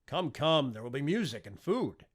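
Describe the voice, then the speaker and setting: Audiobook king, acted by a male narrator: commanding, formal, forceful, husky voice with big pitch swings. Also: very animated, normal speed. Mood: jovial. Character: commanding, confident king passing stern sentence. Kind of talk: audiobook character dialogue.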